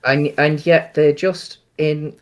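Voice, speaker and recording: A man's voice: fairly high pitch, steady tone, slow pace. A man co-hosting a casual film discussion, with a tentative, rambling way of talking. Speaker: podcast co-host.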